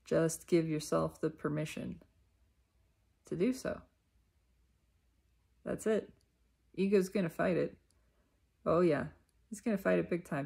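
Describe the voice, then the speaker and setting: A woman giving a reflective self-help monologue, unhurried and emphatic, often repeating her phrases. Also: fairly low pitch, vocal fry, dark tone, husky.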